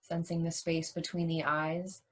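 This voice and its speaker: A woman leading a guided yoga nidra meditation as a teacher, soothing and unhurried, leaving long pauses between short phrases. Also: fairly low pitch, level tone, slightly rough.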